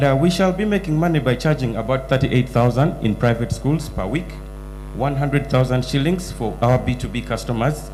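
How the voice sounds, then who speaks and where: A man giving a startup pitch, reeling off market and revenue figures. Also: darkish tone, a little hiss or hum, low-quality recording. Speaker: entrepreneur pitching at a summit.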